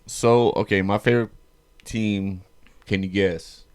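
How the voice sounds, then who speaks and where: A man hosting a podcast, talking in a laid-back, halting way and asking questions. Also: on the low side, fairly even intonation, a little mellow, clean tone. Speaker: podcast host. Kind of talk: casual chat.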